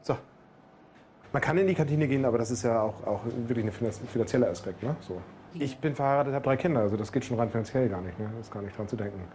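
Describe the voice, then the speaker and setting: A man's voice: mid-range voice, quick pace, a little mellow, a little hiss or hum. A male nurse with a Berlin accent, chatting and joking with patients at the bedside. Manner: chatty, joking, tags phrases with "ne?". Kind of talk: friendly bedside chat with patients. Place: hospital emergency department.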